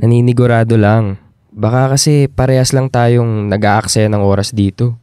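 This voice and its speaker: An actor as an earnest, insecure Filipino boyfriend. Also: male voice, medium pitch, fairly even intonation, normal speed, warm tone, clear, resonant tone, dry recording, clean studio sound, lightly acted. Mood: subdued, pleading. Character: earnest, insecure young boyfriend pleading for commitment. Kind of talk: Filipino radio drama acting.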